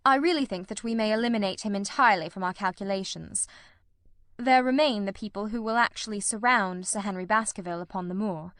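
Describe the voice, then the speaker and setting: A grave, commanding detective from a classic mystery storybook, voiced by a woman. The delivery is formal, precise and deliberate, at a medium pitch, in a very close, dry recording. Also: a bit bright, no room sound, natural voice. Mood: measured, analytical.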